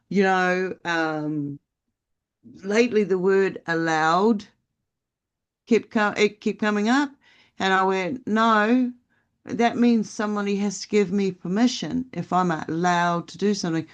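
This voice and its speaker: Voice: medium pitch, slow pace, clear, resonant tone. A podcast co-host talking in a casual, rambling and reflective way, with plenty of fillers. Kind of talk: casual spiritual podcast chat.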